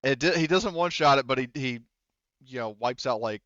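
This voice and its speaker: A man with a smooth, middle-pitched voice serves as game master. He narrates casually and swears freely. The sound is dry and a little dull. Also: expressive, a little fast, a bit bright, dry recording. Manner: casual, profane.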